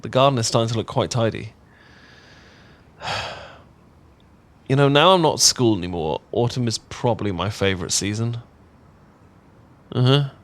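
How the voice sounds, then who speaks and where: A man's voice: medium pitch, lively intonation, normal speed, long pauses, lightly acted. Bashful, chatty young English guy, acted by a man. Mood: fond, reflective. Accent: British, English. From England. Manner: chatty, bashful, stammering when flustered. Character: bashful, affectionate young English male roommate, playful and rambling. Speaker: audio roleplay voice actor. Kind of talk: intimate ASMR roleplay acting.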